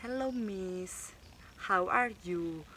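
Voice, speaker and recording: A woman imitating a friendly local stranger who speaks slow, broken English. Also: mid-range voice, a bit bright, slight background noise, pitched above the performer's own voice, poor audio. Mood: eager.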